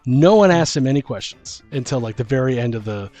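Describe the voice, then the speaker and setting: A man in a casual chat about tabletop gaming as co-host and game master, rambling and halting as he thinks aloud. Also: mid-range voice, lively intonation, darkish tone. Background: background music.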